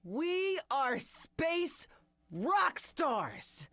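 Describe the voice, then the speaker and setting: An actor playing a cocky, excitable teenage alien boy captain, boastful and animated, in an animated video game. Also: very high-pitched, drawn-out words, no room sound, full character voice. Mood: triumphant.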